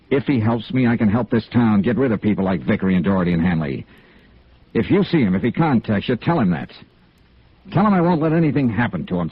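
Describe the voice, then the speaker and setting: A man's voice: lowish voice, breathy edge, dry recording. An American actor playing a hard-boiled, persistent insurance investigator in a radio noir drama, clipped and matter-of-fact as he narrates and questions. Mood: probing, insistent. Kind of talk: 1950s radio noir acting. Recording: dull vintage radio recording with music.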